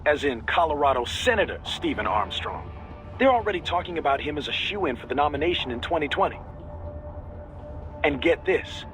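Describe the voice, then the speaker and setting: Highish-voiced, sharp intel adviser. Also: male voice, animated, normal speed, faint music behind. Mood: informative, intrigued. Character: sharp, informal male intelligence adviser briefing a teammate. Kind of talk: video game voice acting.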